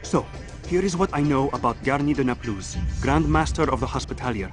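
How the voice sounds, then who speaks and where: A man's voice: medium pitch, a little fast, lightly acted. A man playing an earnest, discreet medieval spy informant in a video game. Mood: earnest, confiding. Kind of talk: dramatic video game acting. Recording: loud music behind the voice.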